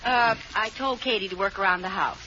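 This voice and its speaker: A woman's voice: medium pitch, brisk, pushed and bright, close to an everyday voice. An actress playing a playful, scheming young wife in a 1940s radio sitcom, coaxing her husband. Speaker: lead actress. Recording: muffled old radio broadcast with hiss.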